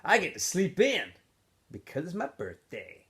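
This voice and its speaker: A man voicing a cocky, stubborn teenage boy from a storybook, loud and insistent. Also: on the high side, wildly swooping pitch, a little slow, pitched above the performer's own voice, exaggerated voice. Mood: stubborn, boastful.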